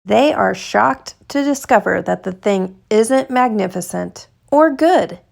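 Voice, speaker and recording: A woman reading a children's picture book aloud in an expressive, deliberate storytelling manner, stretching words for emphasis. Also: mid-range voice, vocal fry. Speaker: storytime reader.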